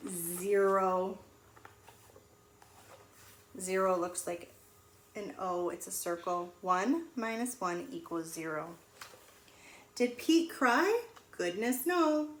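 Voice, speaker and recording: Woman, primary teacher type, animated storybook reading with questions to the kids; unhurried, mid-pitched, in a reverberant room. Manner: sing-song, pausing to ask children questions. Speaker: teacher reading aloud to young pupils. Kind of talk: animated children's storybook read-aloud. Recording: echoey room with faint noise.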